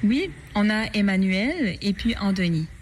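Enthusiastic Québécois singer, mid-pitched woman. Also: lively intonation, unhurried, a little hiss or hum. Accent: Québécois French. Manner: friendly, enthusiastic, fluent. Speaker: opera singer. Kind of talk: casual interview.

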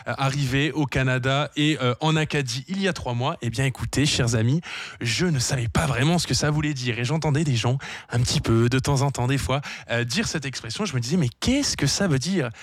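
A man from France hosting a casual radio show from a studio, chatting with listeners and often pausing on "euh". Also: medium pitch, dry recording. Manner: chatty, lively, many fillers. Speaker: radio host.

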